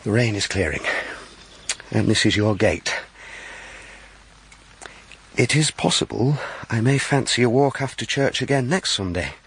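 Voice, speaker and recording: A man's voice: lowish voice, expressive, a little slow, pauses often, fairly bright tone, slightly rough, full character voice. An actor playing a courteous, wry young country clergyman in a period audio drama. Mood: earnest, tender. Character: gallant, teasing, earnest country clergyman. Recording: rain noise behind the voice.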